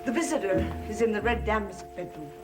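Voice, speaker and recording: An actress playing a stern, suspicious housekeeper, formal and clipped, in a gothic soap opera. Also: female voice, medium pitch, brisk, music in the background, lightly acted. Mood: cold disapproval.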